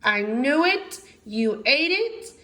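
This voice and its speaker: A woman's voice: high-pitched, sing-song, sharp tone, pitched above the performer's own voice, full character voice. A woman voicing a bossy, exasperated young girl mad scientist from a storybook, with dramatic, emphatic delivery. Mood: furious, alarmed. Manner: dramatic, emphatic delivery with drawn-out stressed words. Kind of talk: storybook character voice in a read-aloud.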